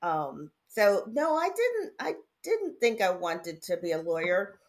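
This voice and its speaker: A middle-aged woman who is a judge, raised in Connecticut, telling her story in a relaxed, articulate way as an interview guest. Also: medium pitch. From Indiana, raised in Connecticut. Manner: articulate, measured, chatty with laughs.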